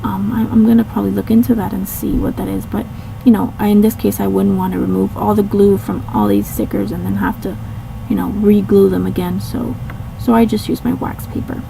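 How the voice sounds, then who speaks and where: A woman with a slightly hoarse, raspy voice casually narrating her craft video, rambling with many ums and restarts. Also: mid-range voice, flat delivery, a little fast, dark tone, slight background noise, rough recording. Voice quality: raspy, catching a cold. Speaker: scrapbooking channel host.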